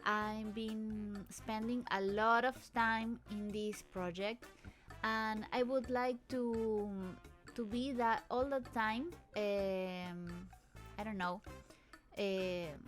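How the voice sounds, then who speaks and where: A woman with a foreign accent gives a casual voice-over as a channel host, hesitant and a little nervous, restarting her sentences as she goes. Her voice sits at an average pitch for a woman. Soft music plays faintly behind her in a home apartment. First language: not English. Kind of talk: casual voice-over commentary on video clips. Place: apartment in a busy town area with traffic outside.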